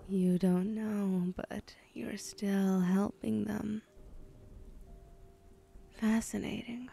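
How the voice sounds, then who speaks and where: Woman acting a slow, whispering, menacing villainess. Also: medium pitch, flat delivery, warm tone, heavily acted. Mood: teasing, smug. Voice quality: breathy, whispered. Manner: slow, taunting, condescending. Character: menacing, teasing female villain abductor with obsessive curiosity. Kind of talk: ASMR roleplay.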